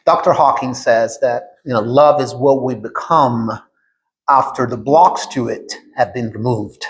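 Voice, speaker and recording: Guy hosting a reflective podcast interview, average pitch, agrees a lot and checks in. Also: unhurried, poor audio. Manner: affirming, checks in with "right?".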